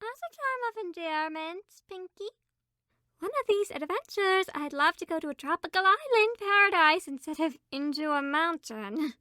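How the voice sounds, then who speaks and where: A woman's voice: very high-pitched, expressive, moderate pace, sharp tone, no room sound, studio quality, full character voice. A woman playing a refined, fussy, comfort-loving lady pony in a cartoon comic dub, with a prim and dainty manner. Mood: prim, reluctant. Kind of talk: fan comic dub acting. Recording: faint music behind.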